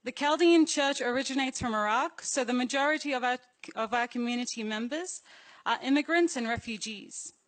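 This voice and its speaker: Higher-pitched young Australian woman giving a speech. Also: moderate pace, low-quality recording. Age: young adult. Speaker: youth delegate. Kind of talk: prepared speech to an assembly.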